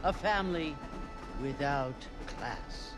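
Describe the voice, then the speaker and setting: A woman's voice: low voice, animated, slow, music in the background. An actress playing a snobbish, pious, judgmental older society woman in a Western video game, haughty and prim. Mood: disdainful.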